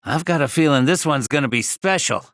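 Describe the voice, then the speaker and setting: A man's voice: a little high, expressive, brisk, a bit bright, no echo, clean studio sound. An actor playing an eager, chatty townsman in a game, full of admiration. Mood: enthusiastic. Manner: enthusiastic, chatty.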